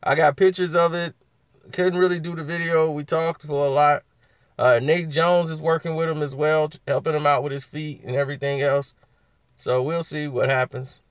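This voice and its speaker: Man, husky, casual live chat host, chuckles often, close dry mic. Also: on the high side, flat delivery, normal speed, no room sound. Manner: laughs often, talks back to chat.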